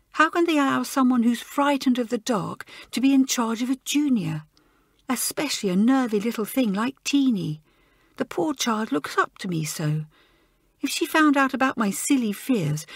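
A frightened schoolgirl in a storybook pleads through quiet sobs, her voice high and rising and falling sharply, as a woman narrator puts her on. The voice is breathy and close, with no room sound around it. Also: sing-song, normal speed, dry recording, heavily acted. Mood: tearful. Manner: sobbing, pleading. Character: tearful schoolgirl ashamed of her fear.

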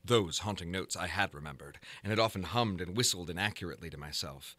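A man narrating a gothic horror story in a formal, measured, theatrical manner, as in a dramatic audiobook. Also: low-pitched, lively intonation, sharp tone, no room sound.

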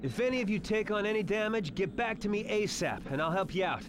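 An actor playing a blunt army field medic in a video game. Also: male voice, high-pitched, lively intonation, brisk. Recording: clear background storm noise.

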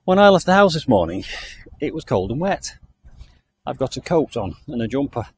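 A man's voice: mid-range voice, sing-song, normal speed, a little hiss or hum. A man from the UK giving a chatty, enthusiastic walking tour of a country garden outdoors, recorded on the camera he carries. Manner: chatty, enthusiastic, thinking aloud. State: hot and overdressed while walking. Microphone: handheld camera mic, walking.

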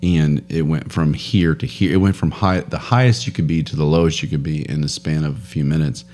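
A man in his thirties, a podcast co-host, chatting casually and telling a rambling story with frequent laughs, on a boom mic in a home studio. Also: steady tone, fairly quick, a little mellow, slight background noise. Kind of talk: casual chat. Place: home studio room, indoors. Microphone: boom studio mic with headphones.

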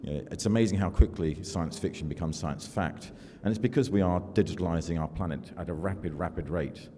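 Deep-voiced older man giving a keynote. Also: warm tone, breathy edge. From United Kingdom. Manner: measured, self-deprecating presenter with fillers. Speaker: keynote speaker. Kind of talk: conference keynote talk. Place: large conference hall with audience. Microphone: podium microphone. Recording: long hall reverb, faint room noise.

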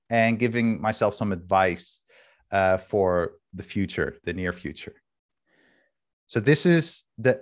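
Dutch-native man, 30s, somewhat low voice, candid video-call demo host. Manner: candid, thinking aloud with restarts. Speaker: workshop host. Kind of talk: casual screen-share demo. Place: home room, indoors. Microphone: headset with video call camera.

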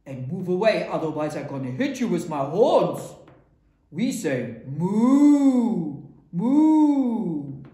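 A woman voicing big gruff farm animals in a storybook tale, loud and threatening, with drawn-out words. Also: on the low side, very animated, reverberant. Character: big gruff farm animals: dog, horse, bull, pig.

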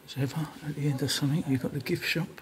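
A man's voice: a little high, fairly even intonation, racing. A man giving casual, unscripted travel commentary as a vlogger, recorded on location while sightseeing. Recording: noisy handheld recording with street ambience.

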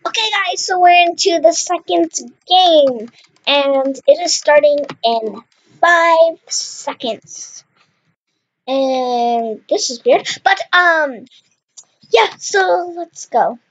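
A woman's voice: sits high, slow pace, muffled recording. A young woman commentating her own Minecraft game with a slight cough, chatty and exclaiming at what happens on screen. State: slight cough, clears throat. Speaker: gaming channel host. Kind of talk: casual Minecraft let's play commentary.

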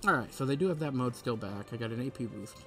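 A man's voice: mid-range voice, expressive, quick pace. A man giving casual, joking let's-play commentary as a gaming streamer, speaking in an offhand way. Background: game music.

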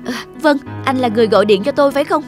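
Cartoon drama role: woman as anxious, earnest granddaughter, fairly high natural voice, music underneath. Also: rapid-fire, lightly acted. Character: worried, grateful adult granddaughter. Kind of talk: cartoon dialogue.

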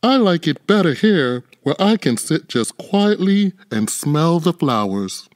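A man's voice: a little high, sing-song, a little slow, no echo, studio quality, voice pushed up. A man voicing a peaceful, contented little bull in a children's storybook, mild in manner. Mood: calm contentment.

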